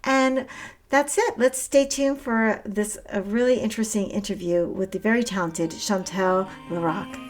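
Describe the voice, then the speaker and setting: A woman's voice: mid-range voice, fairly even intonation, normal speed, soft background music. A woman hosting an interview show, introducing and chatting with her guest in a bubbly, enthusiastic way. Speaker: podcast host.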